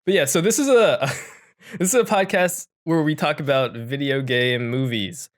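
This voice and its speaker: A man hosting a podcast in casual, unscripted chat, with a jokey, halting manner that often interrupts itself. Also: a little high. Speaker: podcast host.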